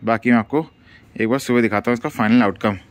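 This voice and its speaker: A man's voice: medium pitch, fairly even intonation, quick pace. A male travel vlogger chatting casually and playfully to his viewers, mixing English words into his talk.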